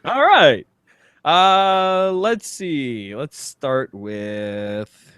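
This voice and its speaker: A man's voice: a little high, animated, drawn-out words, a bit bright, clear, resonant tone, no echo, clean studio sound. A man hosting a comedy podcast, bantering casually and teasingly and reading things out for laughs. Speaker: podcast host.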